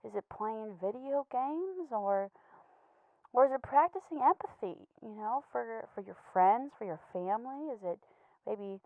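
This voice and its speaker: A woman playing an anxious, earnest pet betta fish in a comedy voicemail monologue, stammering and hesitant, gently judgmental and apologetic. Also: on the high side, lively intonation, a little slow, no room sound. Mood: earnest pleading. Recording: muffled, tinny phone-like voicemail sound.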